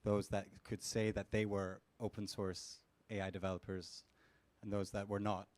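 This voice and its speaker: A man speaking as a researcher on a conference panel, measured in pace and dotted with "you know" and "um". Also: on the low side, little pitch movement, a little mellow, no echo. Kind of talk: panel discussion answer.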